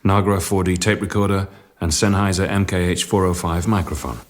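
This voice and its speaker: An actor in a radio drama playing a wry sound engineer with a dry, understated manner. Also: male voice, sits low, fairly even intonation, a little fast, natural voice. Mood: matter-of-fact.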